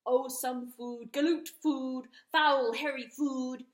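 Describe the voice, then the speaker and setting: A woman doing a shrill, pompous male turkey. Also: steady tone. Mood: grumbling. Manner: drawn-out, shrill and loud. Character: pompous shouting male turkey, oblivious and fussy. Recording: roomy echo.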